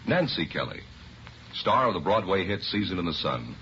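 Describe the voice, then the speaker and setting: A man announcing a 1950s radio advertisement with deliberate, emphatic salesmanship. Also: low voice, very animated, pushed and bright. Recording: muffled vintage radio recording, faint noise.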